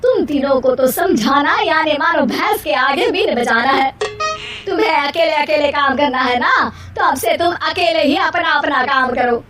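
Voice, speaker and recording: Woman acting a shrill, exasperated older Indian mother-in-law. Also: normal speed, roomy sound, recorded from a distance, music in the background, close to an everyday voice. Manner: loud, complaining, melodramatic.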